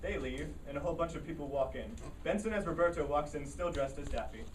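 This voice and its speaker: A woman's voice: low voice, fast. A woman narrates a play script, reading stage directions at a staged reading. The room is echoey, with distant pickup and faint noise.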